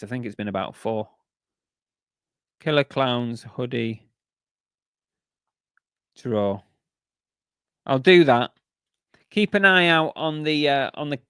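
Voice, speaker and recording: A man hosting a relaxed live stream, chatting loosely with lots of ums and restarts. His voice sits at an ordinary middle pitch and is wearing thin, nearly gone. The sound is dry and close, with no room echo. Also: clean tone. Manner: chatty, rambling, with many fillers and restarts. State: losing his voice. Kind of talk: casual live stream chat.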